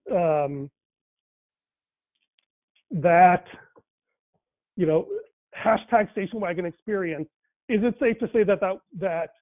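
A man whose first language is Tamil talks casually about faith and language, thinking aloud with many fillers and restarts. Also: sits high. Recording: tinny phone-like line, dry.

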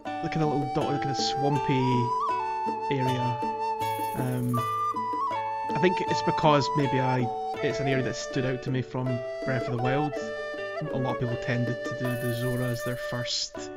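A man's voice: medium pitch, little pitch movement, normal speed, loud music behind the voice. A man co-hosting a casual video game podcast, rambling along and chuckling through his anecdotes. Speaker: podcast co-host.

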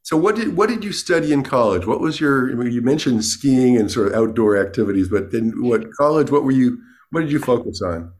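A man's voice: mid-range voice, animated, brisk, hardly any pauses, darkish tone. A man hosting a podcast, chatty and enthusiastic, often repeating words as he asks questions. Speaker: podcast host. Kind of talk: casual interview talk.

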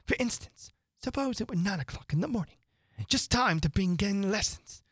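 A woman voicing an excitable, mournful male hatter who rambles theatrically. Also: medium pitch, dry recording, clean studio sound, pitched above the performer's own voice, close to an everyday voice. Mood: whimsical. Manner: theatrical, rapid swings from chatter to gloom.

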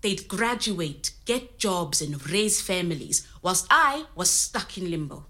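A woman's voice: lowish voice, sing-song, slow pace, pushed and bright, exaggerated voice. An actress playing a candid, resilient woman, telling her story conversationally. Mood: weary, frustrated. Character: Zimbabwean woman immigrant in Nottingham, candid and resilient. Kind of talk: scripted oral-history audio drama told as interview.

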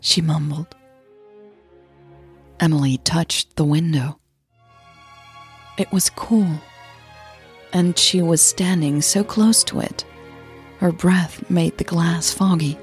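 A woman podcast host delivering a scripted narration in a measured, dramatic storytelling manner. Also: on the low side, faint music behind.

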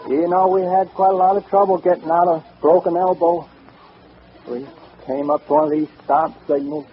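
A man's voice: on the high side, fairly even intonation, normal speed, close to an everyday voice. A man with an American accent playing a folksy comedian, telling gags in old-time radio patter. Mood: joking, easygoing. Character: folksy male banjo comedian telling tall mother-in-law gags. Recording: muffled, faintly noisy vintage radio recording.

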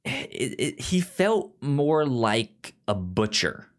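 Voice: mid-range voice, big pitch swings, takes it slowly. A podcast co-host of unclear gender, chatting casually about fiction and often stammering over repeated words and syllables. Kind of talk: casual comic and film discussion.

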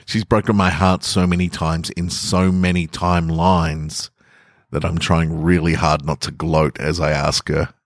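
A male podcast host with a notably low voice, recorded dry and close. He talks to listeners and reads short fiction as a storyteller, with a sense of drama. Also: little pitch movement, a little slow, dry recording. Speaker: podcast host and fiction writer reading own stories. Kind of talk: chatty intro and dramatic first-person story narration.

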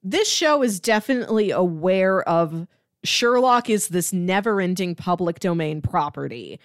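A woman podcast co-host in a casual, enthusiastic chat about a TV series, quick to laugh. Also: lowish voice, animated, dry recording, studio quality.

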